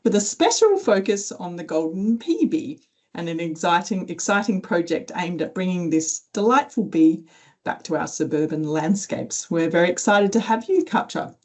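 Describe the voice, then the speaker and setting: A woman's voice: lowish voice, expressive, normal speed. A woman hosting a webinar, reading a friendly introduction with occasional ums. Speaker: webinar host, team leader.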